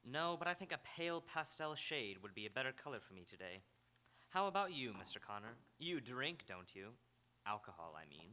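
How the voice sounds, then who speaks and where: A man's voice: mid-range voice, very animated, fairly quick, pushed and bright, a little hiss or hum. A man playing a suave, needling ex-husband in live radio theatre, dry and taunting with firm emphasis. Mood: breezy, mocking. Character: sardonic, needling, suave ex-husband, reformed drinker. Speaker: stage radio actor. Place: live on an auditorium stage.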